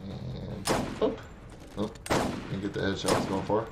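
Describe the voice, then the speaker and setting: A man's voice: low voice, steady tone, unhurried, gravelly, over loud music. A man in his thirties from Wisconsin, with a Wisconsin accent, chatting casually and wryly while streaming a game from his room on a headset mic. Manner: chatty, wry, talks back to the game. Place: small room at home.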